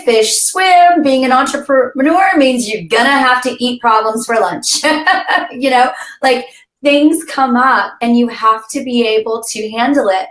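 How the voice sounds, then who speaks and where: A woman's voice: a little high, reverberant. A woman co-hosting a business podcast, with a scratchy voice today and an upbeat, laughing manner. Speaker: podcast co-host.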